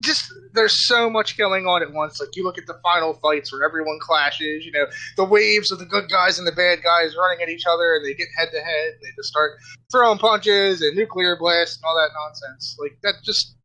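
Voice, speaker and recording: A man's voice: on the high side, moderate pace, bright, forceful tone, poor audio. A man chatting casually on a panel podcast, giving opinions in colloquial language.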